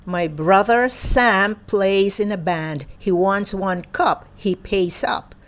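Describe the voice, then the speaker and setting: A woman reading a picture book aloud for young children in a deliberate, playful way, in short separated phrases. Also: mid-range voice. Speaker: children's storybook reader.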